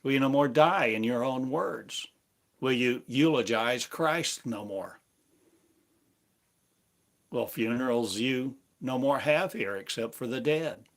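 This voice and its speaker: A man preaching a prophetic sermon, speaking slowly and solemnly in the voice of God, with long pauses between phrases. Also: mid-range voice, fairly bright tone.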